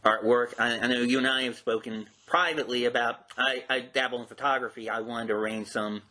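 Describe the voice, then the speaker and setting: A man's voice: mid-range voice, fairly even intonation, fairly quick, sharp tone, low-quality recording. A man hosting a casual podcast interview, speaking politely with tentative, self-correcting phrasing.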